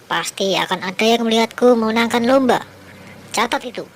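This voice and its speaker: A woman's voice: fairly high pitch, a little fast, a little hiss or hum, natural voice. A woman voicing a boastful, arrogant mouse-deer in a children's storybook tale, speaking in a mocking, haughty way.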